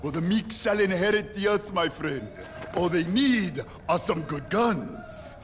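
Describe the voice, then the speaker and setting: A man's voice: high-pitched, animated, a little slow, a little hiss or hum. A man playing a zealous, eccentric gun-dealing preacher in a video game, theatrical and grandly friendly as he sermonizes. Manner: theatrical, sermonizing, savoring words. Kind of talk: video game cutscene acting. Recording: long cavernous reverb, faint music.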